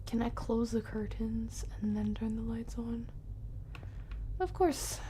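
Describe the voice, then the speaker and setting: A woman's voice: fairly high pitch, steady tone, slow, mellow, slight background noise. A woman doing casual, chatty live commentary as she streams a horror game, reacting and often muttering to herself.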